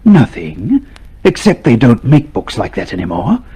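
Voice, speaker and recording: A man playing a clerk's sly inner alter ego in a radio drama, breathy, insinuating and goading. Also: mid-range voice, huge pitch swings, measured pace, warm tone, exaggerated voice. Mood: needling.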